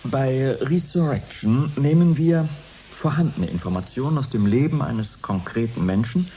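An actor playing a scientist giving careful, halting explanations in a radio play interview. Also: male voice, medium pitch, expressive, mellow, close to an everyday voice. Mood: careful, hesitant. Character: hesitant male scientist explaining his experiment on radio. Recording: noisy 1980s radio recording.

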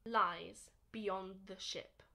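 Woman playing a bold, forceful young rebel. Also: mid-range voice, fairly even intonation, extremely slow, creaky, exaggerated voice. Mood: questioning. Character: bold, impetuous young man defying authority. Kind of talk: radio drama acting.

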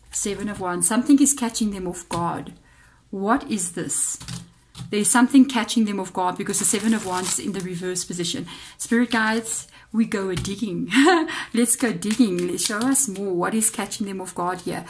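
A woman's voice: medium pitch, moderate pace, slight background noise. Chatty South African woman reading tarot. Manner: chatty, musing aloud, addresses viewers directly. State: voice a bit off, slightly unwell-sounding. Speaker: tarot reader. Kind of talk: casual tarot reading to camera. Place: indoors at a tabletop altar.

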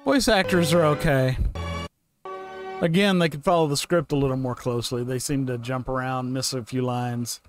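A man's voice: mid-range voice, fairly even intonation, moderate pace, over music. A middle-aged man hosting a reaction channel, giving casual commentary into a close desk microphone.

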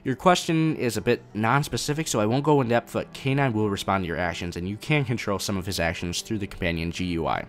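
A man's voice: fairly low pitch, fast, hardly any pauses. A young man casually answering viewer questions about his game mod on a live stream, reading chat questions aloud and rambling a little. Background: faint game music.

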